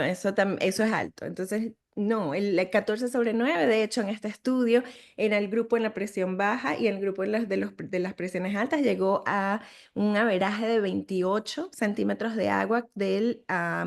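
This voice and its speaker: A middle-aged woman with a Venezuelan Spanish accent explains medical studies in a webinar. Her voice sits at an ordinary pitch and carries a gravelly fry. She talks conversationally, with frequent fillers and restarts. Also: moderate pace. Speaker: sleep medicine physician lecturing. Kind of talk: webinar lecture.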